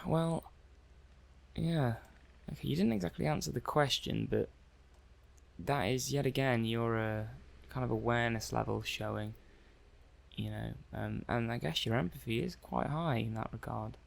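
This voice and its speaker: Man playing a gravelly, hesitant robot tester. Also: medium pitch. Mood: puzzled, musing. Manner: hesitant, halting, musing aloud. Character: hesitant, curious male robot technician running software tests. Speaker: audio roleplay performer. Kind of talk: soft-paced ASMR roleplay monologue.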